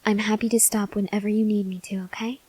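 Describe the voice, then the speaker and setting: A woman playing a gentle, awkward, hungry female vampire in an ASMR roleplay, speaking softly and hesitantly with breathy near-whispers and tender reassurance. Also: mid-range voice, flat delivery, fast, close to an everyday voice. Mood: careful, tender. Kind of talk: ASMR roleplay, intimate address to the listener.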